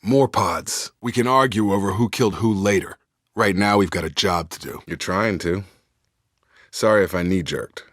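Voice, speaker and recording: Steady, plainspoken male soldier, dry close recording. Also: on the low side, moderate pace, dry recording. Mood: steady, serious. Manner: clipped, matter-of-fact military phrasing. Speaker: video game squad member. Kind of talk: scripted video game dialogue.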